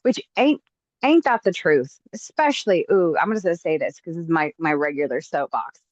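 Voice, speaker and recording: Lively low-voiced woman, dull recording. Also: normal speed, no echo. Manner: animated, emphatic, motivational soapbox delivery. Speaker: podcast co-host. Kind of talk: casual podcast chat.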